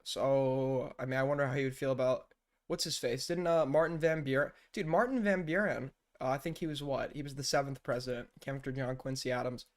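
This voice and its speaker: A man's voice: mid-range voice, brisk. Blunt, rambling young man streaming. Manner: rambling and blunt, with frequent fillers and profanity. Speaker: streamer reacting to news clips. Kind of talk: casual live-stream commentary.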